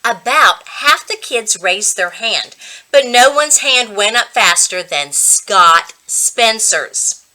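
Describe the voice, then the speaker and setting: A woman reading a children's book aloud as a reading coach, with expressive, dramatic storytelling. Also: fairly high pitch, takes it slowly, poor audio.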